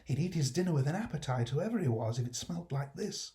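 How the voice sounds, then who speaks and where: A man's voice: fairly high pitch, animated, fast, dark tone, natural voice. A man voicing a humble, kindly old working-class father, fond and easily enraptured, musing in homely dialect in a storybook reading. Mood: fond, wry.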